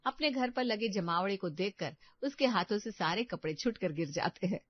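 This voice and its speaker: A woman's voice: medium pitch, expressive, normal speed, no room sound, highs cut off. A woman narrator reading a scripted family story.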